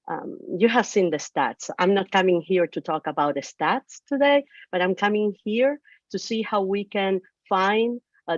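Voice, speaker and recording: A woman's voice: lowish voice, no echo, dull audio. A woman from the Canary Islands with a Spanish accent, speaking earnestly on a panel with many fillers and restarts. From Canary Islands, Spain. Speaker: panelist, entrepreneur. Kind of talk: panel discussion.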